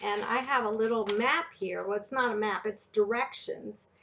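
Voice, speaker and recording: A woman reading a picture book and teaching young children, speaking deliberately and putting questions to her listeners. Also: medium pitch, vocal fry, fairly bright tone, slight background noise. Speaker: children's story reader and teacher.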